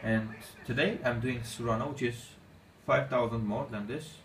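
A man's voice: medium pitch, steady tone. Armenian man in a roomy, echoey space. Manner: halting, non-native English with many fillers. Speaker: gaming channel host. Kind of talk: casual gameplay commentary. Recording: echoey room, distant mic, faint noise.

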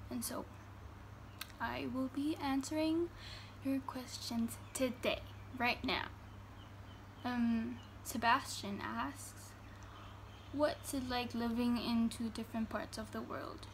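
A young woman in her teens, a vlogger from Germany, answering fan questions in casual chat in her bedroom, full of fillers and reading each question out slowly. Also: a little high, a little hiss or hum. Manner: chatty, filler-heavy, reads questions slowly. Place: bedroom indoors. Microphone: phone camera at arm's length.

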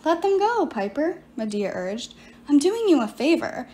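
A woman's voice: sits high, big pitch swings, moderate pace, a little mellow, pitched above the performer's own voice. A woman voicing a scheming, vengeful ancient sorceress in an audiobook, theatrical and coaxing. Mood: persuasive. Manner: coaxing, self-pitying, theatrical. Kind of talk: audiobook character voice.

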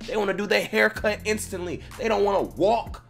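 A man's voice: high-pitched, fairly quick, creaky, fairly bright tone. A young American man giving casual, sweary gaming commentary with a slangy, venting manner. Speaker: gaming video creator commenting on clips. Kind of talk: casual, profanity-laden commentary. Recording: music playing behind voice. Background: music.

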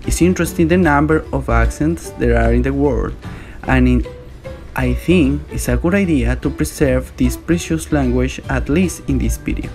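A man's voice: mid-range voice, moderate pace, vocal fry. Earnest male voice-over over guitar. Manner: earnest, with non-native phrasing. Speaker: narrator and video maker. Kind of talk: scripted voice-over. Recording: voice-over with soft guitar music.

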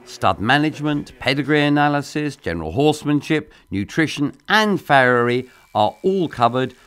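A man giving scripted promotional narration in a polished, measured voice-over delivery. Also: medium pitch, fairly bright tone.

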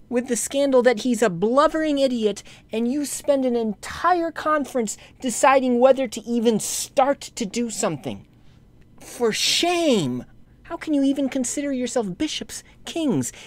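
A man delivering a lecture voice-over over slides, scolding church leaders in a rebuking tone that climbs sharply when indignant, and turning measured when explaining history. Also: very high-pitched, a little mellow, no room sound. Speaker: lay commentator addressing bishops.

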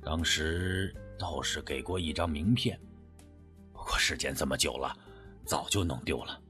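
A man voicing an older medicine teacher in an audiobook, measured and reflective, speaking in an understated, reluctant way. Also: extremely low pitch, pushed and bright, faint music behind, pitched below the performer's own voice, heavily acted. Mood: hesitant, reflective.

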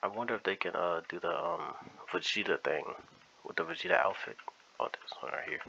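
A man's voice: sits low, sing-song, a little fast, vocal fry, sharp tone. A man hosting a gaming video with casual commentary, often musing to himself as he plays. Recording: muffled lo-fi mic with faint noise.

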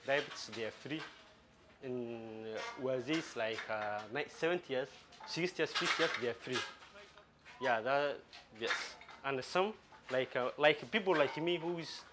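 A man in his twenties from Somalia, a gym owner talking in a documentary interview, speaking halting with many restarts, in a small metal-walled gym. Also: mid-range voice, very noisy. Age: 20s. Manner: halting non-native English with restarts.

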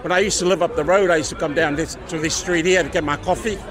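A man's voice: on the high side, steady tone, fast. Laid-back male street vlogger, crowd noise. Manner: laid-back, chatty, upbeat street talk. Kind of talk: casual walking vlog. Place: busy city street outdoors at evening. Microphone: handheld camera at arm's length.

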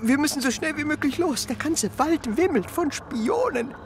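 A woman's voice: high-pitched, animated, moderate pace. A woman playing a timid, guilt-ridden male faun in a German radio play, faltering and repeating words. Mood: anxious, relenting. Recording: music behind the voice.

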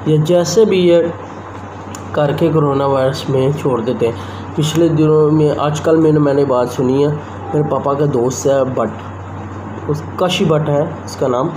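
A young man, vlogging in a casual, rambling way to a phone held at arm's length, first on a rooftop and then in a small room. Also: fairly high pitch. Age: young adult. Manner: rambling, conversational, talks straight to the camera. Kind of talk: casual vlog chat. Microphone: handheld phone camera at arm's length. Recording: noisy phone recording with outdoor ambience.

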